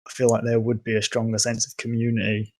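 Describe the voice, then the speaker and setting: A man's voice: medium pitch, completely flat delivery, quick pace, a little mellow, a little hiss or hum. A young man from England, a podcast guest, talking casually and hesitantly with many ums and restarts. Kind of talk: casual conversation.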